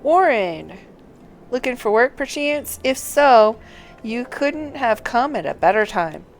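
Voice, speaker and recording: A woman reading out an earnest male sellsword recruiter from a fantasy game, with formal, measured, cautioning delivery. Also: a little high, big pitch swings, a little hiss or hum, exaggerated voice.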